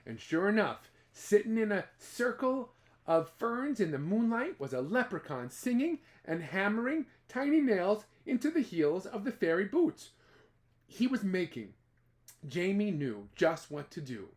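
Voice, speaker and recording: A man's voice: high voice, very animated, a little slow. A man as storyteller, narrating a children's folktale expressively with dramatic exclamations and playful asides. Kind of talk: expressive children's storytelling, part read and part ad-libbed.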